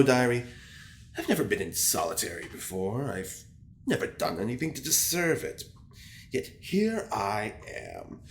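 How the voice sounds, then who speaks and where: A man's voice: lowish voice, gravelly, exaggerated voice. A man playing a fussy, self-important prisoner in an audio drama, speaking his diary aloud with affected theatrical airs. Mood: aggrieved innocence. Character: prim, self-important male prisoner, scheming gossip feigning innocence. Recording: roomy echo with faint background noise.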